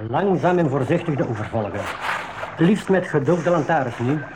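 A man acting as a calm, experienced safari guide who instructs and reassures his group in a radio drama. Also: a little high, moderate pace, noisy background, lightly acted. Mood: calm, instructive.

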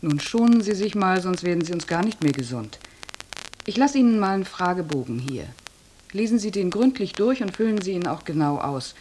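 A woman's voice: lowish voice, expressive, brisk, a little mellow, a little hiss or hum, close to an everyday voice. An actress in a radio drama playing a cheery hospital nurse who mothers and coaxes her patient. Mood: cheery, coaxing. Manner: coaxing, kindly patronising.

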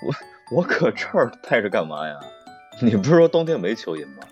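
A man's voice: fairly low pitch, very animated, brisk, dull audio, soft background music. A man playing a flustered, blustering young man who stammers out lame excuses in an audio drama. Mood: indignant. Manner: exclamatory, stammering, colloquial. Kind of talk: live audio drama acting.

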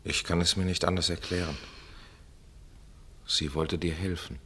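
A male actor playing a grave, steady doctor in a German radio drama, sober and measured, with a husky voice. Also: deep voice, exaggerated voice. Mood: consoling. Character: grave, steady doctor consoling a bereaved woman.

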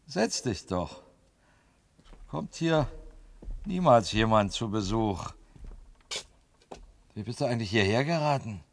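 An actor in a German radio play, playing a brash, bossy but chummy worker with a blunt, colloquial way of talking. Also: male voice, lowish voice, animated, slow pace, pauses often, full character voice. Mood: chatty, blunt. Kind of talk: German radio drama acting.

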